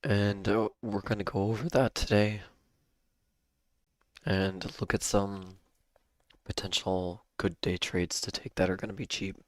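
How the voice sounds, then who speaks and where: A man hosting a live day-trading stream, hoarse and losing his voice, talking casually with a cough drop in his mouth and clearing his throat. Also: lowish voice, little pitch movement, a little slow, pauses often, no room sound. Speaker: livestream day-trading host.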